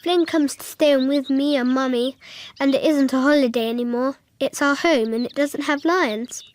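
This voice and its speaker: Actress as an earnest high-voiced little girl. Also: moderate pace, close to an everyday voice. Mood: earnest, matter-of-fact. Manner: childlike, literal, explanatory. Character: earnest, matter-of-fact six-year-old girl narrating her world. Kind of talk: radio drama acting and narration.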